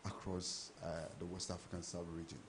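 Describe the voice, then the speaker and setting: A man, a journalist, asking a question from the audience and trailing off quietly. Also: sits low, flat delivery, moderate pace, background noise.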